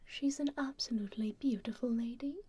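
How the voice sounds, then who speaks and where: A woman playing a kind, teasing stranger in an intimate ASMR roleplay, speaking gently and unhurriedly in a breathy, whispery voice. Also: fairly high pitch, fairly quick. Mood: wistful, curious. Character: kind, teasing female stranger caring for a festival-goer.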